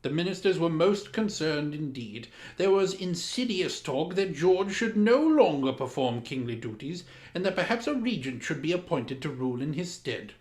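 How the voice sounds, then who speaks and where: A man narrates a children's story with grand, pompous formality. His voice sits a little high and swings widely in pitch for dramatic emphasis. The recording is roomy, with clear echo around the voice. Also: big pitch swings, normal speed, few pauses, darkish tone. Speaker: storyteller, host of a story time. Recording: roomy, echoing room sound.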